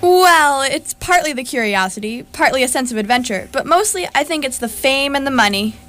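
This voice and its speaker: A woman's voice: high voice, lively intonation, fairly quick, fairly bright tone. A woman playing a brash, thrill-seeking spaceship captain in comic radio theatre, dismissive and commanding. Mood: cocky, excited. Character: cocky, thrill-seeking spaceship captain chasing fame and money. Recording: noisy live stage recording.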